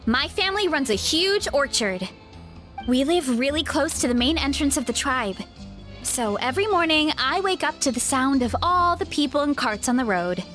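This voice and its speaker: A woman's voice: high voice, animated, moderate pace, a bit bright, soft background music. A woman playing a cheerful, modest young orchard girl and trainee athlete in a video game, friendly and self-effacing. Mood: friendly, chatty. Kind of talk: video game character acting.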